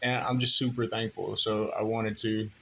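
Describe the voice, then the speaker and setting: A man's voice: on the low side, little pitch movement, normal speed, vocal fry, fairly bright tone, slight background noise, rough recording. Casual man host, lots of fillers. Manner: casual, filler-heavy, enthusiastic. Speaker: podcast host, interviewer. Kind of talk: casual interview questions.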